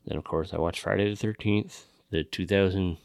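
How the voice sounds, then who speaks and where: A man's voice: sits low, fairly even intonation, normal speed, creaky, darkish tone, dry recording, studio quality. A man co-hosting a casual chat about films, talking informally and swearing freely. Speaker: podcast co-host.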